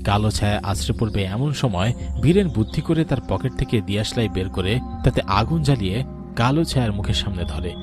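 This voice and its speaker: A man narrates a scripted horror story in a low voice. His delivery is dramatic and full of suspense. Music plays clearly behind him throughout. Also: animated, brisk.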